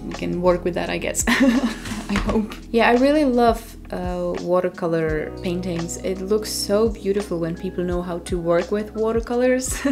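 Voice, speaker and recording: Czech-accented young woman, chatty and self-deprecating. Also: lowish voice. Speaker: art channel host. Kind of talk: voice-over vlog narration.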